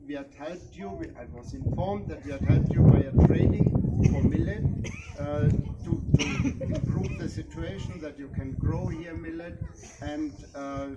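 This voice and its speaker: A man giving an informal speech of thanks as a visiting guest, halting and restarting as he talks. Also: on the high side. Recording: loud background noise.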